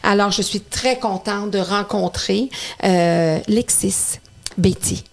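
A woman's voice: medium pitch, slow pace, vocal fry. Chatty Québécois woman hosting a podcast. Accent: Québécois French. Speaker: host. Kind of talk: podcast interview.

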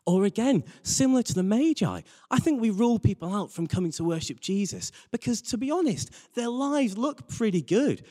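A man's voice: sits high, expressive, normal speed, hardly any pauses, warm tone. A man preaching a sermon in an earnest manner, stressing his points with repeated phrases. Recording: reverberant hall.

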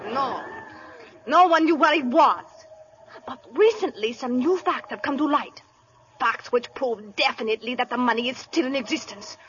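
A theatrical heiress who clings to her fortune. Her voice is high and bright, and she speaks with intense, dramatic emphasis. The recording is muffled with faint hiss. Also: normal speed. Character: melodramatic young heiress guarding a fortune. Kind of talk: 1930s radio acting. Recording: scratchy 1930s radio broadcast.

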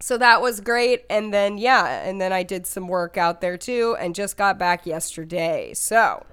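Young female co-host, slightly hoarse from a cold, with a smooth, clear tone; chatty, laughs a lot. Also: medium pitch, a little slow, a bit bright. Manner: chatty, laughs often, many fillers. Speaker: podcast co-host. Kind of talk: casual chat. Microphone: video call.

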